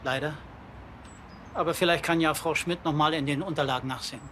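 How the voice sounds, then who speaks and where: An actor playing a formal, defensive undertaker, polite and businesslike, in a TV crime comedy. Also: male voice, on the high side, steady tone, brisk, sharp tone, slight background noise.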